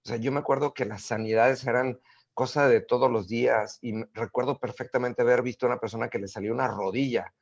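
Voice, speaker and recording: Gravelly, husky man telling stories. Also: medium pitch, fast talker, lo-fi sound. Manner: animated storyteller, many fillers and restarts. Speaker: guest. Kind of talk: casual interview conversation.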